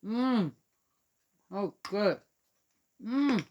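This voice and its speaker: A woman from Switzerland chatting gently to young children and reading them a picture book aloud. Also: medium pitch, expressive, very slow, muffled recording, slight background noise. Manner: gentle, chatty, talks directly to young listeners. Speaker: children's storyteller.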